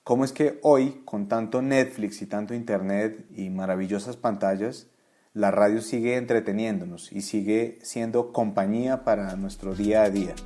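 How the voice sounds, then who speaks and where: A man from Bogotá with a Bogotá Colombian accent, hosting a podcast and clearly explaining Spanish words to learners. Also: lowish voice, steady tone, moderate pace, mellow. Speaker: podcast host and Spanish tutor. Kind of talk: scripted introduction and vocabulary explanations.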